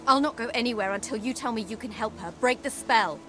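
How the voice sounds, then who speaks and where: An actress playing a fierce, distraught young adult daughter in a video game, intense and insistent. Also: female voice, fairly high pitch, quick pace, close to an everyday voice. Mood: desperate. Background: game music.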